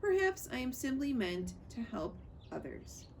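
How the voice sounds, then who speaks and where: A woman voicing a gentle, logical female robot in a storybook, with measured, formal phrasing. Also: high-pitched, big pitch swings, vocal fry, a little hiss or hum, pitched above the performer's own voice, full character voice. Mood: calm, sincere. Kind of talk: storybook character voice.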